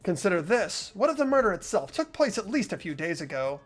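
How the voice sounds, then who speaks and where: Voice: medium pitch, very animated, fairly quick, soft background music. A performer voicing an earnest teenage schoolboy reasoning aloud in a fan-voiced game.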